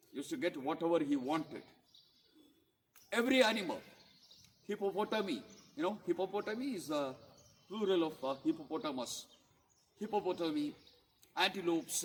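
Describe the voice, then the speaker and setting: Guy telling kids a story, high voice, teacherly, asks questions, echoey and a bit far from the mic. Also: a bit bright, slight background noise. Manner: didactic, asks listeners questions and explains words. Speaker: storyteller for children. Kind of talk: storytelling with vocabulary explanations for children.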